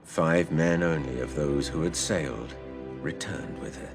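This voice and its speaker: A man playing a seafarer who tells his past adventure in a measured, literary, expressive way. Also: over music. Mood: reflective storytelling. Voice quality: low, gravelly. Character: older seafaring man telling his past adventure to a boy, wry and fond. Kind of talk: dramatised storytelling narration.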